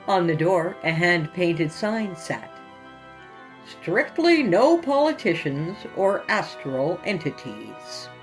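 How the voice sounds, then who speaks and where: A woman's voice: lowish voice, rough recording. A woman hosting and narrating scripted horror fiction in a theatrical, sinister storytelling manner.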